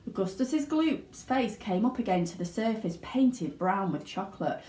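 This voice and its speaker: Female children's story reader: dramatic, expressive, highly varied intonation, echoey room. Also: normal speed. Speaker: storybook reader, school teaching assistant. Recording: roomy indoor echo.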